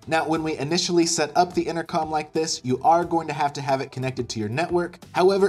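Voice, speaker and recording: A man's voice: medium pitch, a little mellow. A man in his thirties with an American accent, explaining step by step as an upbeat instructional video presenter. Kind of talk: instructional tutorial narration. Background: background music.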